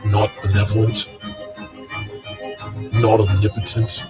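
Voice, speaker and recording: An actor playing a grim, haunted hunter of false gods in slow, brooding, solemn trailer narration. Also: male voice, lowish voice, level tone. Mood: brooding, ominous. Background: dramatic string music.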